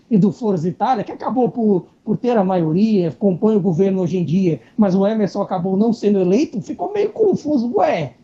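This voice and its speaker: A woman's voice: medium pitch, dark tone, muffled recording. A woman on a podcast panel speaking in a casual, wry and incredulous way.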